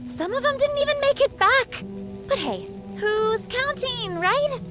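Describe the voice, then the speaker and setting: A sardonic rebel woman leader from a video game, with a very high voice that swings up and down. She teases breezily and theatrically, taking her time over the words, with faint music behind her. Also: lively intonation, measured pace. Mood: mocking. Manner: sarcastic, breezy, teasing.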